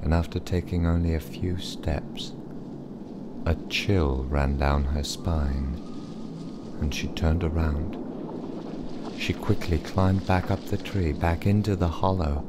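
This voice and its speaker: A man narrating a bedtime story in a dramatic, measured and suspenseful style. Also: low-pitched, fairly even intonation, very noisy.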